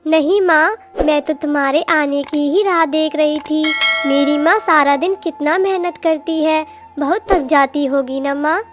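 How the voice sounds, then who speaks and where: A woman's voice: high voice, unhurried, soft background music. A woman voicing a sweet, devoted young daughter bird in a moral-story cartoon, with a tender, earnest manner. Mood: tender, caring.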